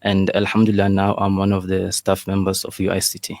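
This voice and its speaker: Flat-voiced man from South Africa. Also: low-pitched, brisk, dry recording, clean studio sound. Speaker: staff member, panel guest. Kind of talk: self-introduction. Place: broadcast studio.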